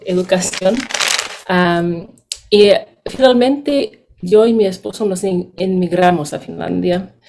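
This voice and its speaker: Mellow woman speaking careful Spanish. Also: on the low side. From United States. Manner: careful and measured, sometimes searching for words. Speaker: postdoctoral education researcher. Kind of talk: seminar presentation.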